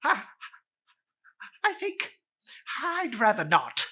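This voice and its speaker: A man plays a frightened old male miser from a storybook. The voice jumps high and bright, stammering through timid, whining pleas. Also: huge pitch swings, very slow, breathy edge, heavily acted. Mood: timid reluctance. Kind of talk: dramatic storybook reading.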